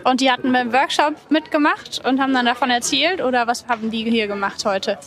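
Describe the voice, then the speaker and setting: A woman's voice: fairly high pitch, fairly quick, fairly bright tone. A woman interviewing guests at an event, asking friendly, chatty questions.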